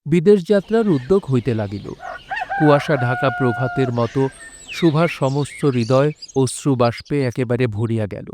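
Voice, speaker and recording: A man narrating literary prose for an audio drama in a solemn, measured, dramatic way. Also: medium pitch, lively intonation, a little mellow, a little hiss or hum.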